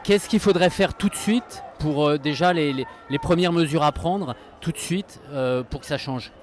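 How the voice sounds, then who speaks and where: A man asking informal questions as a street interviewer, halting and rephrasing as he goes. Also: a little high. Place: outdoors in the street at a demonstration. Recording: faint street noise.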